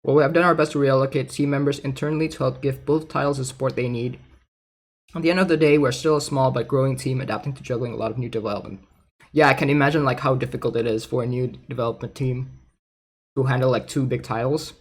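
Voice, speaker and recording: A man's voice: medium pitch, fairly even intonation, fast. A man casually reading aloud and commenting in an informal, chatty way, like a gaming content creator. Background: background music.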